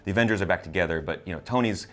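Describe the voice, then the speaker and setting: Male co-host for a chatty movie review. Low voice, halting delivery with repeated words. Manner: hesitant, repeats words and phrases as he goes. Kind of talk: casual spoiler review chat.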